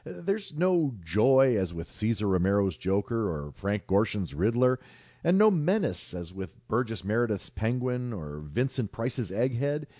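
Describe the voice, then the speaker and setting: A man's voice: fairly low pitch, sing-song, a little slow, dark tone, no echo, highs cut off. A man hosting a podcast, reading a prepared film segment with wry commentary. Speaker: podcast host.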